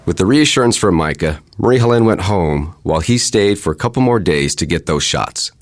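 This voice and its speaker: A man hosting a podcast, telling a true story in measured, deliberate, scripted narration. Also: deep voice, expressive, no room sound, studio quality. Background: music.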